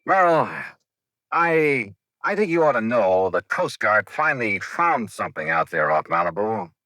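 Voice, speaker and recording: An actor playing a shrewd, brisk insurance investigator in an American 1950s radio detective drama, clipped and self-assured. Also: male voice, mid-range voice, big pitch swings, bright, forceful tone, dry recording, full character voice, poor audio. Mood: confident, accusing. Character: shrewd, brisk male insurance investigator narrating his case. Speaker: lead actor and narrator.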